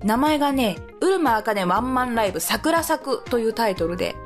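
A woman co-hosts a radio show in a fairly high voice. She chats casually and passes on announcements in a conversational way, with music underneath. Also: animated, a little fast.

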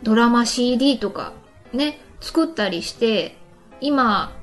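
High-voiced woman hosting casual radio. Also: animated, measured pace. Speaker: radio show host reading listener messages. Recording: light music behind the voice. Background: background music.

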